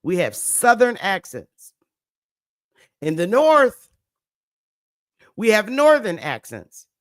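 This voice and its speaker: A woman's voice: medium pitch, huge pitch swings, takes it slowly, no room sound, clean studio sound. A woman with a Northern US accent hosting a livestream, lecturing her viewers emphatically, repeating her points and calling on the chat to answer back. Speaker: livestream host.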